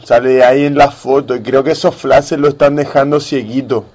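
A man's voice: mid-range voice, steady tone, moderate pace, background noise. A man speaking colloquially and mock-earnestly as the patient in a satirical radio sketch. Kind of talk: slow, casual satirical sketch dialogue.

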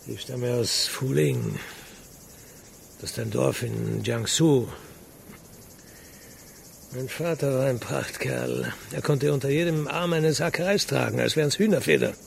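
An actor in a German radio drama playing an earnest, proud city guard colonel of humble birth, gruff and plain-spoken. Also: male voice, medium pitch, slight background noise.

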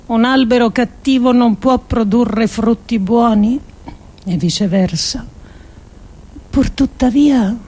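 A woman performing a scripted literary monologue as a radio actress, solemn and deliberate, with emphatic phrasing and pauses. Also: on the high side, dark tone.

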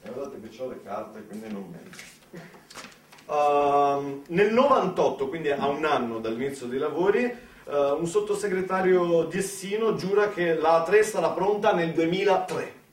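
A male stage storyteller with a fairly high voice, recorded some way from the microphone in a very roomy space. He delivers his monologue conversationally and with irony, using pauses and emphasis for dramatic effect. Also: expressive. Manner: ironic. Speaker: solo theatre storyteller. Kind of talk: theatrical monologue storytelling to an audience. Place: echoey indoor performance space, mic at a distance. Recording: echoey room, distant microphone.

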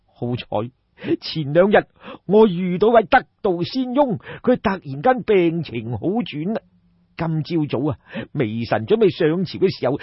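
A man voicing a respectful, smooth-tongued courtier of ancient China in an audiobook, measured and earnest. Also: a little high, full character voice. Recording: dull, roomy audiobook recording.

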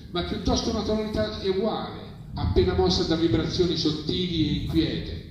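A man reads literary text aloud at a live event. His voice sits in a very echoey space, away from the microphone, with clear noise around it. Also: medium pitch. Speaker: reader at a literary reading. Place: live public reading, heard from a distance. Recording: echoey, distant, noisy live event recording.

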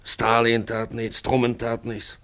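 A man's voice: mid-range voice, monotone, a little slow, a bit bright, natural voice. A man playing an eloquent narrator in a 1950s German radio play, addressing the listener directly in a dramatic, rhetorical way. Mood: dramatic, reflective. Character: eloquent male narrator guiding the listener through a drab town.

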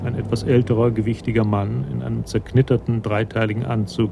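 An actor serving as the composed narrator of a German radio crime drama, reading scripted prose. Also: male voice, mid-range voice, level tone, a little fast, creaky, noisy background, natural voice. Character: composed storyteller of a police tale.

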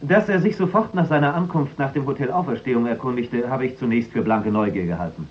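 A man's voice: medium pitch, fast, muffled recording, slight background noise, close to an everyday voice. A man acting a serious, officious cabinet minister in a 1960s German radio play, formal and matter-of-fact. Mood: concerned, formal.

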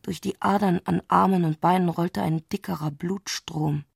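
Eine Frau spricht in einem literarischen Hörspiel die introspektive Erzählerin, die mit bedachten Pausen die Gedanken einer aufgewühlten Frau schildert. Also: female voice, fairly low pitch, steady tone, creaky, breathy edge, dry recording, clean studio sound, heavily acted. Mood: intense, dreamy. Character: intimate, introspective female narrator of a troubled woman's thoughts. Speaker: narrator in a literary radio play. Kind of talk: literary radio drama narration.

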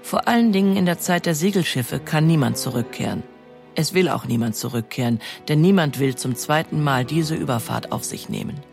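Creaky-voiced woman explaining, music behind. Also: sits low, expressive, fairly quick, a little mellow. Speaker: expert commentator. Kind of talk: explanatory documentary account.

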